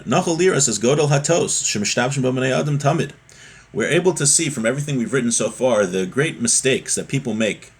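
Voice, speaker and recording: A man teaches a religious class in a husky, mid-pitched voice. He moves between reading from a text and explaining it. He often restates a point in new words to drive it home. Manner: explanatory, repeats and rephrases for emphasis.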